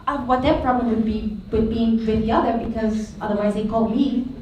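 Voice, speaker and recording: A woman's voice: fairly high pitch, steady tone, darkish tone, recorded from a distance, slight background noise. Stuttering woman moderator, echoey hall. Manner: stuttering, halting. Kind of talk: panel moderation. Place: stage of an arts venue hall.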